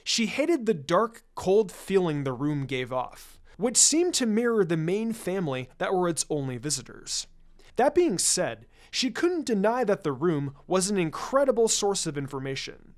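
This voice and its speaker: A narrator reading a scripted story in an expressive, dramatic way, drawing out phrases for effect. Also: medium pitch, moderate pace. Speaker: fan fiction narrator.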